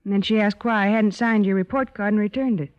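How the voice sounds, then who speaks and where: An actress playing a patient, gently firm young woman looking after a younger brother in an old-time radio drama. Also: medium pitch, fast, smooth, lightly acted. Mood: concerned, firm. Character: concerned, patient young working older sister. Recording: muffled old radio recording.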